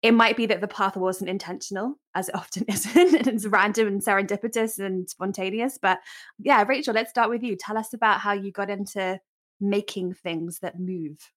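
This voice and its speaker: An upbeat woman hosts a podcast interview at a middle pitch. She gushes over her guests and laughs easily. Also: normal speed, a bit bright. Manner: upbeat, gushing, laughs often. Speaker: podcast host.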